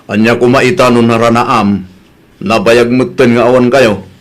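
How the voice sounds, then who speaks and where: A man's voice: medium pitch, fairly even intonation, moderate pace, close to an everyday voice. A man with a Filipino Ilocano accent playing a stubborn, defensive young son in a comedy drama. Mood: wary.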